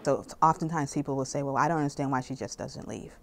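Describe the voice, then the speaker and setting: A middle-aged woman speaks with emphasis, repeating lines to drive them home. Her voice is unusually low for a woman. There is faint ambience in her office. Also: fast, a little hiss or hum. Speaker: nonprofit executive director. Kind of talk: interview. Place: indoor office.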